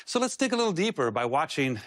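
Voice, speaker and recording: A man's voice: fairly high pitch, very animated, fast, fairly bright tone, no echo, clean studio sound. A man presenting at a game showcase in a scripted, upbeat, emphatic promotional style.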